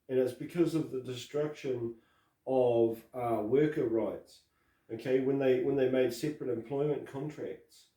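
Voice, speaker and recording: A man from New Zealand talking in a casual political chat as co-host, halting, with many fillers and restarts. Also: mid-range voice, dark tone, roomy sound, distant mic, poor audio. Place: Christchurch.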